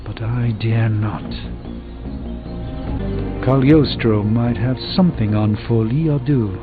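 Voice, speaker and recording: An actor playing an erudite, haughty middle-aged sorcerer superhero in a video game, speaking formally with dry, measured articulation. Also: male voice, mid-range voice, phone-quality sound, lightly acted. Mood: dry, brooding. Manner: formal, dry, slightly pompous. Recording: band-limited game audio under music.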